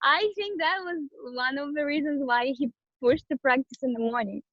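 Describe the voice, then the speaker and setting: A woman's voice: high voice, moderate pace, no room sound, dull audio. A bubbly woman chatting casually as an interview guest, a professional dancer whose native language may be Romanian. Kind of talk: casual chat.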